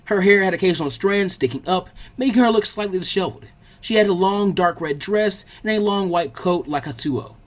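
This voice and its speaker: A man's voice: high voice, a little fast. A man reading scripted fan fiction narration as an audiobook narrator.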